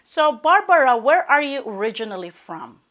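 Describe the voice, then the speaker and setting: A woman's voice: high voice, very animated, normal speed, fairly bright tone. Woman hosting an interview. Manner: formal, announcer-like introductions with slips. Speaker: interview show host.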